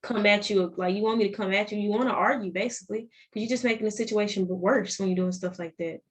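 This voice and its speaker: Young southern woman guest, animated, keeps restarting lines, casual chat. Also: medium pitch, quick pace. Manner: animated, repeats and restarts phrases. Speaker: podcast guest.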